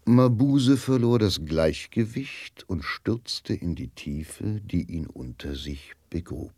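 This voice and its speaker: An actor narrating a radio drama as a grave, literary storyteller. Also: male voice, low voice, expressive, slow, a little mellow, slightly rough, no room sound, full character voice. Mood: dramatic.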